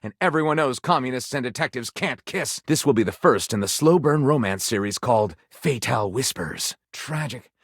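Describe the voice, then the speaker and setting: An actor playing a theatrical, enthusiastic male author pitching his stories as a dating-sim game character. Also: expressive, moderate pace, few pauses, dry recording, clean studio sound. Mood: musing, dramatic. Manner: theatrical, flamboyant, dramatically announcing titles.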